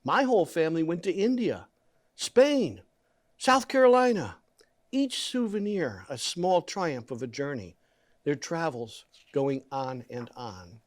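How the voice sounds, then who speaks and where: A man reading a children's picture book aloud in a gentle, deliberate storytelling manner, leaning on key words. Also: on the high side, very animated. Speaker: guest story reader.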